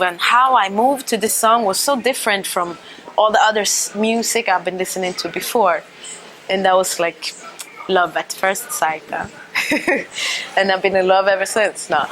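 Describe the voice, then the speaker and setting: A young woman dancer raised in Sweden talks through her story in an earnest, reflective way, often ending a thought with a questioning "huh?". Her voice sits at an ordinary middle pitch for a woman. She is recorded close on a lapel mic while seated in a cafe. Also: a bit bright, slight background noise, rough recording. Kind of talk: reflective interview monologue. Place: cafe or restaurant interior.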